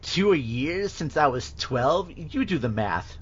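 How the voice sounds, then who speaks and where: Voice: medium pitch, sing-song, unhurried, slightly rough. A performer plays a young woman on the witness stand, sullen and defensive. The delivery is curt and sulky, in radio play style. The sound is a bit muffled and lo-fi. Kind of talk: amateur audio drama courtroom acting. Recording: dull lo-fi audio.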